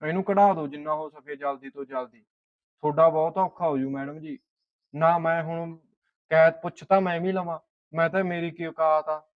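Guy acting a punjabi servant, concerned and earnest, slightly high voice, dry muffled sound. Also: dry recording, close to an everyday voice. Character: loyal young male house servant, concerned and indignant. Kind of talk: naturalistic short film dialogue.

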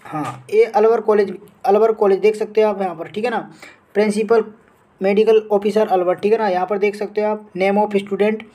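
A man's voice: high voice, steady tone, moderate pace, warm tone. An Indian man hosting an educational update channel, talking informally through a screen-share tutorial and repeating himself with frequent check-in tags. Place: voice-over on a phone screen recording.

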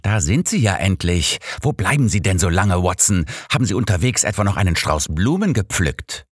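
Man as old male sleuth, imperious, impatient, dry wit, low voice, close dry audiobook sound. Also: very animated, normal speed, slightly rough, dry recording, full character voice. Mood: impatient, sarcastic. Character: imperious, brisk, sardonic old male master detective.

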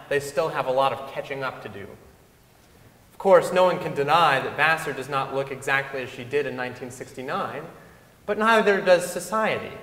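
Young man, slightly high voice, formal stage reading in an echoing hall. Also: a bit bright. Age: young adult. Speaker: reader in a dramatic reading. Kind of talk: staged reading of speeches and letters. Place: large wooden concert hall stage. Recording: echoey concert hall with long reverb.

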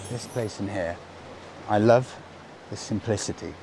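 A man's voice: lowish voice, a little slow, over loud music. A man in his thirties chatting enthusiastically to camera on a lapel mic, touring a seaside village and cafe. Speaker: travel vlogger. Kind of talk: casual travel vlog to camera. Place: outdoors on a seaside promenade and in a cafe. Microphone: clip-on lapel mic.

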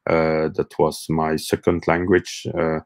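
A man's voice: very deep voice, monotone, a little slow. A man in his forties with a Flemish Belgian accent, French his first language, hosting a casual live stream and speaking hesitantly with many fillers and restarts, filmed close up in a small room. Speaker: host of a live stream on gardening. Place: small room with bookshelves, indoors.

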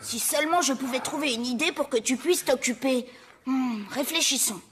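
Young cartoon tractor, kind, earnest, eager helper, extremely high pitch. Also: female voice, normal speed, breathy edge. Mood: caring. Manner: bright-spirited, caring, earnest. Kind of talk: children's cartoon acting.

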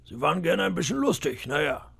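A man's voice: fairly high pitch, very animated, fairly quick, vocal fry, a bit bright, breathy edge, pitched above the performer's own voice, full character voice. A man voicing a cunning old pirate cook in an audiobook, wheedling and boastful with flashes of menace. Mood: mocking. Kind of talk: audiobook character voice.

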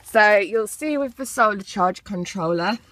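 A woman's voice: medium pitch, lively intonation, unhurried, a bit bright, no room sound. A woman giving a casual, chatty handheld tour to viewers, wandering off into informal asides as she films on her phone. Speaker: vlogger showing own off-grid setup. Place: outside by a caravan, then inside it. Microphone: handheld phone behind the camera.

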